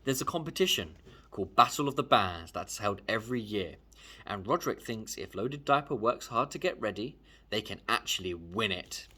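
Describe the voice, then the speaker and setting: A man reading a children's book aloud as a storyteller, in an expressive, emphatic way. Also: fairly low pitch, normal speed, slightly rough. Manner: animated, emphatic. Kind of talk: expressive read-aloud narration, first person.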